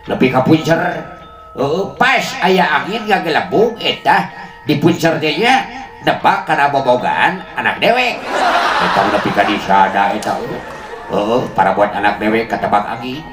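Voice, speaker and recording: A man's voice: medium pitch, very animated, moderate pace. A man with a Sundanese accent tells comic tales in rhymed patter, playful and chuckling as he goes. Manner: playful, chuckles as they talk. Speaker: comic storyteller, show host. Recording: echoey amplified sound with music behind. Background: music.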